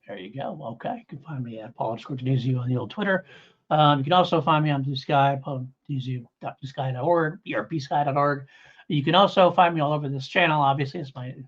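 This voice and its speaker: A middle-aged man chats as the host of a review podcast. His voice is husky and mid-pitched, and he rambles casually, correcting himself along the way. The audio is a little dull and lo-fi. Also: fast talker.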